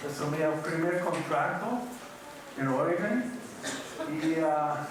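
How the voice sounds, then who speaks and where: Slow, halting older man in an echoey hall. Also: on the high side. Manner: slow, halting, mixes in Portuguese words. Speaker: keynote speaker. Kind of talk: conference lecture. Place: large university auditorium. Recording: echoey hall from far off with audience.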